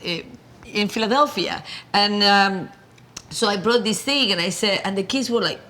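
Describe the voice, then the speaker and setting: Bright-voiced woman with Argentine accent. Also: mid-range voice. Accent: Argentine Spanish. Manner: halting, many restarts, animated storytelling. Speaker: guest, food company founder. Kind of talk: casual interview chat.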